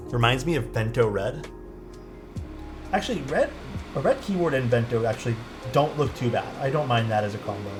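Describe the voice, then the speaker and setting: A man's voice: mid-range voice, expressive, moderate pace, pauses often, music in the background. A young man with a Toronto Canadian accent, chatting casually with his livestream audience while soldering a keyboard. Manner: easygoing, chatty, answers chat while soldering.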